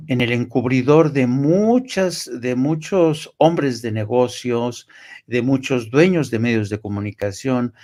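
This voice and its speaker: Mexican journalist guy talking politics unscripted, average pitch, stresses stuff, halting and repeats words. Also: darkish tone. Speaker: journalist, guest commentator.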